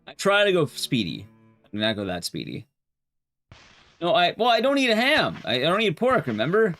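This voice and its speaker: A man's voice: a little high, wildly swooping pitch, normal speed, a bit bright, soft background music. A man livestreaming a game and chatting casually with viewers, rambling and restarting his sentences as he goes. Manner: rambling, often restarting sentences mid-thought.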